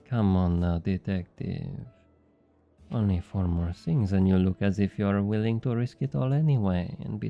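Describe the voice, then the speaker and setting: An actor playing a smug, flirty thief with a French accent, teasing and coaxing in an intimate ASMR roleplay. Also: male voice, deep voice, creaky, dull audio, exaggerated voice. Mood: flirtatious taunting. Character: obnoxiously flirty, smug male thief and information broker.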